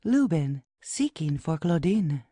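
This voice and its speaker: Female narrator, soft dark tone, animated pitch, medium register, scripted stage directions. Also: takes it slowly, slightly rough, no echo. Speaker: narrator reading scene headings and stage directions.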